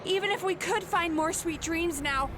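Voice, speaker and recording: A woman's voice: extremely high pitch, moderate pace, background noise. A woman playing a spirited, determined young heroine in a video game, with urgent battle delivery. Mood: worried, urgent.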